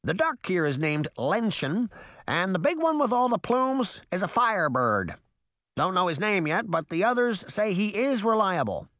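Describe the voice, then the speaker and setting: A man's voice: sits high, big pitch swings, normal speed, a bit bright, dry recording, clean studio sound, voice pushed up. A man voicing an exhausted, wry female crow in a storybook, squawking and sarcastic. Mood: weary, businesslike.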